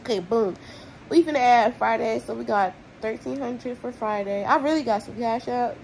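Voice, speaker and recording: A woman's voice: a little high, normal speed, vocal fry, darkish tone, slight background noise, low-quality recording. A young woman, chatting casually and bluntly to her vlog audience while tallying money aloud. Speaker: vlogger. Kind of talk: casual vlog talk to camera.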